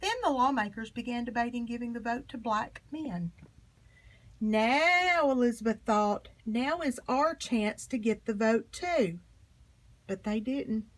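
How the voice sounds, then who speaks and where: A woman reading a children's history book aloud in an expressive, emphatic storytelling manner. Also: fairly high pitch, unhurried, poor audio. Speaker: storybook reader.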